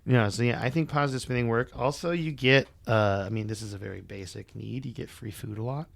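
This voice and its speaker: A man's voice: medium pitch, fairly quick, creaky, no echo. A man co-hosting a podcast, chatting loosely and joking, cursing now and then, his voice a bit worn. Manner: loose and joking, sprinkled with swearing. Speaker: podcast co-host. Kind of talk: casual chat.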